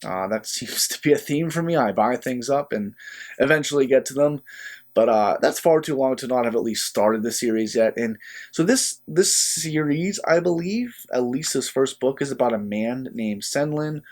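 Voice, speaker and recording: A young man hosting a book channel, talking casually to camera while sick and a bit sniffly, chatty and easygoing with frequent filler pauses. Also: medium pitch, lively intonation, a little mellow. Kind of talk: casual vlog talk to camera.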